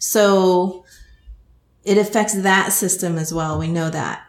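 A woman's voice: on the low side, steady tone, slow. A woman with a Texas accent, hosting a podcast interview as a hypnotist and explaining how hypnosis works in a chatty way. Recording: roomy echo.

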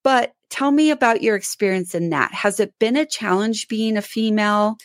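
A woman hosting an agriculture podcast, asking interview questions in a measured way with a few restarts. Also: medium pitch, no room sound, studio quality. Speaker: podcast host. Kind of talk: interview questions and sponsor read.

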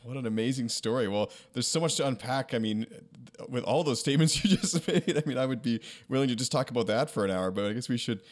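A man's voice: medium pitch, expressive, fast talker. A man hosting an interview podcast, reacting to his guest's stories with enthusiasm. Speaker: podcast host. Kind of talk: interview conversation.

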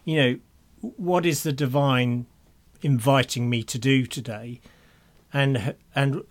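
A man's voice: medium pitch, steady tone, measured pace. A man co-hosting a podcast, chatting casually and reading out listener emails. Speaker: podcast co-host.

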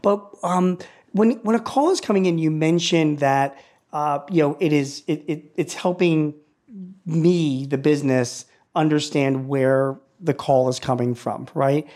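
A man's voice: a little high, measured pace, darkish tone. A man hosting a business podcast in a conversational way, often repeating words as he feels out his questions. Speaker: podcast host interviewing a guest.

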